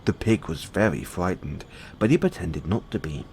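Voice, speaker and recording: Lively low-voiced man reading bedtime stories. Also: normal speed, a little hiss or hum. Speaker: audio roleplay performer. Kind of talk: bedtime storytelling.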